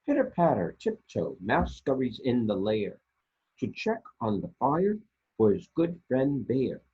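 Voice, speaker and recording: Husky man reading slowly to children. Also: medium pitch, wildly swooping pitch, warm tone, dull audio. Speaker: storytime reader for a library. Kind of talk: expressive read-aloud of children's picture books.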